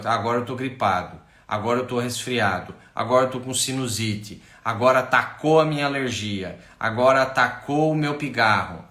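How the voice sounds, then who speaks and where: A man giving a casual spoken health talk, animated, stacking lists and rhetorical questions with frequent self-corrections. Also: medium pitch, echoey room. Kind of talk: casual spoken lecture to camera.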